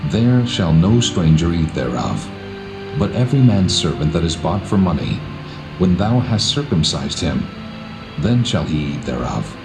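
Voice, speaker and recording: A man voicing a solemn, commanding God laying down law in measured, authoritative words, in a dramatised scripture reading. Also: sits low, very animated, creaky, heavily acted, low-quality recording. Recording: music behind the voice.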